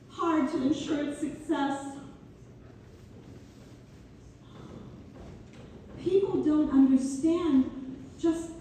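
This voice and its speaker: A woman playing an earnest, wistful founder looking back after death, halting and sentimental, in a community theater read-through. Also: sits high, dark tone. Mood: fond, wistful. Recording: echoey hall from far off, faint noise.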